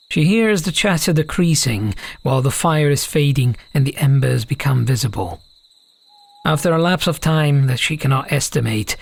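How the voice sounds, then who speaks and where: A man's voice: medium pitch, creaky, breathy edge. A man reading a scripted fantasy story as an audiobook narrator.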